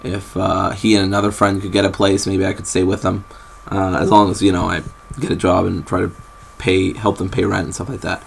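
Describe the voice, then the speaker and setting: Low gravelly young man rambling. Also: flat delivery, fairly quick, a little hiss or hum. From New England. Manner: hesitant, many ums and restarts. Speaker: vlogger. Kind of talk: casual rambling update to camera.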